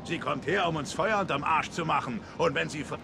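An actor playing a gruff, irritated male thug henchman in a German game dub, rude and grumbling. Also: fairly high pitch, fairly quick, noisy background.

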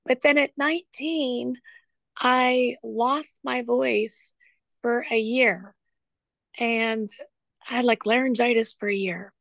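Raspy-voiced woman caller. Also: fairly high pitch, no room sound. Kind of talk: conversation with a show host.

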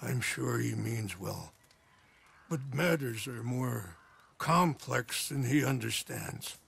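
A dignified old chief in a western video game, full of sorrow. His voice is deeply creaky and husky, and his words come slowly. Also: expressive, fairly bright tone, heavily acted. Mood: grave, resigned. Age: older. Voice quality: gravelly, husky. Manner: slow, solemn. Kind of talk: cinematic western game dialogue.

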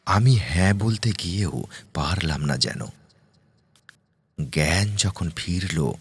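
A man narrating a first-person ghost story in a dramatic, suspenseful way, with deliberate pauses and repeated words. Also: a bass voice, breathy edge. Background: music.

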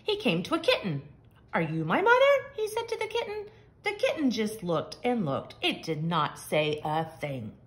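A woman reading a picture book aloud to young children, with expressive, simple and emphatic phrasing. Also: mid-range voice, moderate pace. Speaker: children's story reader. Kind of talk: expressive storybook read-aloud.

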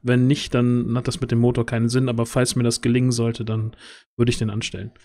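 A man's voice: medium pitch, flat delivery, a little fast, dark tone. A man playing a tabletop role-play game on a video call, speaking casually and searching for his words. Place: indoor room, video call.

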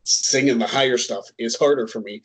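A man's voice: medium pitch, fairly quick, husky. A man in his thirties co-hosting a casual chat on a headset, rambling and self-deprecating with many ums. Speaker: co-host. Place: home room, indoors.

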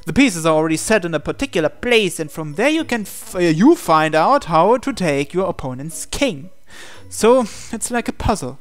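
A woman with a low voice reads a game's dialogue aloud. She delivers the hero's lines conversationally, as a player would, with soft music behind her. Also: big pitch swings, moderate pace. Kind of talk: reading translated visual novel text aloud.

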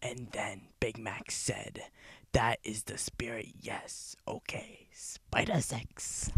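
Deadpan whispering storyteller, male, slow, husky. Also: on the low side, dry recording. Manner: soft breathy whisper, deadpan. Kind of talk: whispered ASMR-style storytelling.

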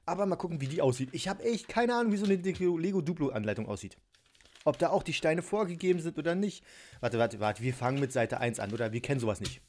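A man's voice: fairly high pitch, expressive, fast, darkish tone. A man chatting casually and giggling often while he unpacks and builds a toy set at a table at home, poking fun at himself. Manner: chatty, giggly, self-mocking. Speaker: toy unboxing vlogger. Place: home tabletop filmed from above.

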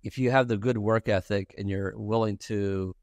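A man's voice: lowish voice, fairly even intonation, moderate pace, darkish tone, no echo. A man in his forties from Ukraine, Russian his first language, answering questions earnestly as a podcast guest in an office. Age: 40s. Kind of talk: casual interview. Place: bright office with a lounge set.

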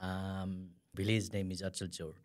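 A man's voice: low-pitched, flat delivery, measured pace. A Nepali man with a Nepali accent, a non-native English speaker answering interview questions hesitantly with many fillers. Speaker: guest, returned migrant worker.

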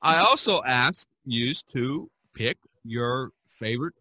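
A man's voice: mid-range voice, animated, slow, pushed and bright, no echo, poor audio. A middle-aged man hosting a podcast, chatting casually and ramblingly about art. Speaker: podcast host.

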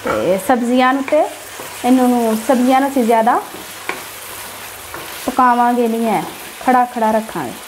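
Woman, Punjabi accent, fairly high pitch, home cook, step-by-step recipe narration, direct instruction, faint frying noise behind. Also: moderate pace, long pauses. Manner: instructional, addresses viewers directly. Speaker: home cook, cooking channel host. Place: home kitchen, beside the stove. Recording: background noise of frying and stirring. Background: sizzling pan, stirring sounds.

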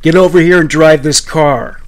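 A man's voice: medium pitch, steady tone, unhurried, lightly acted, poor audio. A man voicing a menacing, coarse, violent woman. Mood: furious threats. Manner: threatening, coarse, commanding. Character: violent, menacing, foul-mouthed woman kidnapper with a knife.

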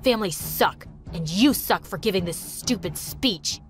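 A sullen teenage boy with a lowered, scornful voice, as a woman puts him on. He sounds bitter and resentful, with soft music playing faintly underneath. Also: normal speed, close to an everyday voice. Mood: furious.

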